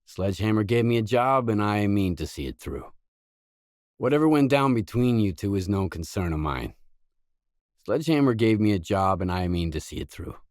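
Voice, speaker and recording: A low male voice from an actor as a gruff, cool-headed mercenary in a video game. He speaks in blunt, guarded lines with stern economy. The sound is dry and close, with no room around it. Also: lively intonation, moderate pace, darkish tone, no echo, natural voice. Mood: guarded, matter-of-fact. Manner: terse, stern, matter-of-fact. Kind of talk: scripted game dialogue lines.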